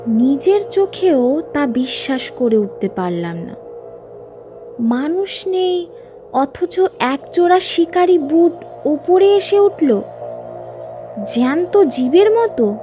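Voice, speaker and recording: A woman's voice: high voice, animated, slow, long pauses, dark tone. A woman narrating a scripted horror story in a suspenseful, theatrical way, like a dramatic audiobook. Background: music under the voice.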